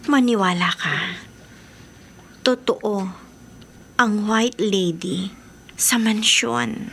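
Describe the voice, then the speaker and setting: Mabagal at misteryosong babaeng multo. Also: female voice, medium pitch, creaky, fairly bright tone, a little hiss or hum, exaggerated voice. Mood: mysterious, knowing. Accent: Filipino. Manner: slow, mysterious, haunting. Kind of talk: Filipino horror radio drama acting.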